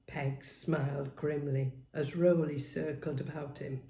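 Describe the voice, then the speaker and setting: A woman reading a novel aloud as dramatic, expressive narration. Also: low-pitched, unhurried, vocal fry. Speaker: story reader. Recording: roomy, echoing sound.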